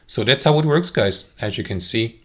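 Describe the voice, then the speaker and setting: A man presenting an informal instructional software walkthrough, explaining things step by step to his listeners. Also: mid-range voice, lively intonation, normal speed. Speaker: tutorial presenter.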